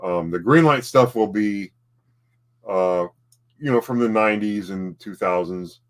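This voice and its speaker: A man co-hosting a casual home livestream, talking in halting bursts and often repeating his words. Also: lowish voice. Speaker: livestream co-host, collectibles seller.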